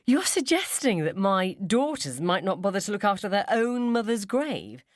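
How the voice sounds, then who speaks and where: A woman's voice: mid-range voice, big pitch swings, moderate pace, no room sound, studio quality, voice pushed up, close to an everyday voice. A woman voicing a domineering, self-righteous school mum in a story, emphatic and judgemental. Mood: affronted. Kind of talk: short story character dialogue.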